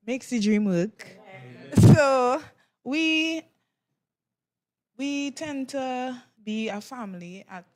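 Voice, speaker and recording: A woman gives casual interview answers. She talks slowly and hesitantly, in a medium-pitched voice. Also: a little mellow. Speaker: interviewed member of a performing group.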